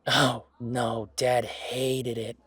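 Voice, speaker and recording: Male actor as laid-back young gamer, mid-range voice, chatty, halting, self-deprecating, audio roleplay, dry close recording. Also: medium pitch, flat delivery, a bit bright, slightly rough, dry recording, full character voice. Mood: exasperated. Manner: chatty, halting, playfully exasperated. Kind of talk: roleplay audio drama, casual one-sided conversation.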